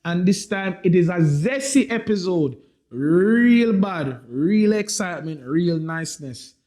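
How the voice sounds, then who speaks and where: A man's voice: high-pitched, unhurried. Upbeat man hosting a podcast. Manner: lively, hyped introductions with slang. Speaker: podcast host. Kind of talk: casual podcast chat.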